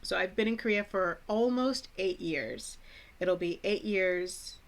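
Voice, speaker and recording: An American woman from the Chicago suburbs who hosts an English-teaching vlog, speaking in a clear, deliberate teacher's manner with playful asides. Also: medium pitch. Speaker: English teacher, channel host. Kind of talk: scripted educational vlog.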